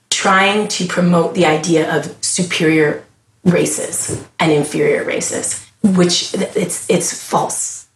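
A woman's voice: fairly low pitch, roomy sound, a little hiss or hum, poor audio. A woman with a Canadian accent, a podcast guest talking in an earnest, impassioned way and often restarting her thoughts mid-sentence. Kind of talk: casual conversation.

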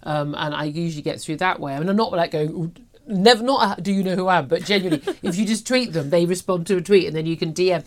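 A woman's voice: fairly low pitch, quick pace. A British woman in her fifties talking through the news as a talk show host, chatty, emphatic and opinionated. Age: 50s.

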